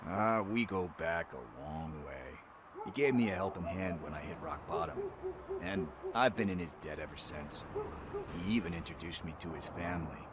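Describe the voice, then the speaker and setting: An actor playing a streetwise police informant in a video game, breezy and wisecracking, with an American accent. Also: male voice, deep voice, normal speed, heavy background noise, natural voice. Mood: candid, wry. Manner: breezy, wisecracking, hesitant fillers.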